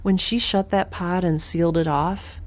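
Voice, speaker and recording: A woman's voice: on the low side, fairly even intonation, normal speed, close to an everyday voice. A woman playing a wry, stubborn young scientist in a space audio drama, with a dry, sardonic and precise way of talking. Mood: earnest, pleading. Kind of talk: audio drama acting, first-person log narration.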